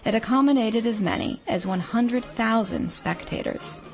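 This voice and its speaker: A woman's voice: fairly high pitch, gravelly. Woman narrating a radio feature. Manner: measured, descriptive storytelling delivery. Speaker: radio reporter.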